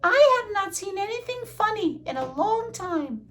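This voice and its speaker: An older woman voicing small, nosy riverbank animals in a storybook, squeaky and eager. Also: expressive, normal speed, a bit bright. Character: small, nosy, excitable riverbank creatures: lizards, dragonflies, mouse.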